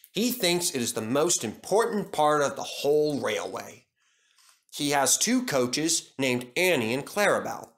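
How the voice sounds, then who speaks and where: A man's voice: mid-range voice, fairly bright tone. A man reading a scripted children's story as its storyteller.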